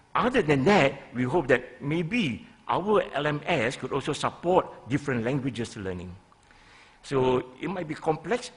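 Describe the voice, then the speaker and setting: A husky-voiced older Malaysian man speaks as a professor presenting research at a conference lectern. His mid-pitched delivery is deliberate and halting, with weight on the key phrases. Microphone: gooseneck lectern microphone.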